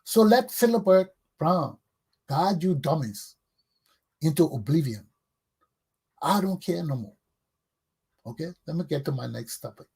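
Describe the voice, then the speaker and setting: A man's voice: on the high side, expressive, a little slow, vocal fry, long pauses, mellow, husky. A middle-aged man with a Liberian accent delivers an impassioned, combative political monologue as a talk show host, emphatic and preachy, hammering phrases through repetition.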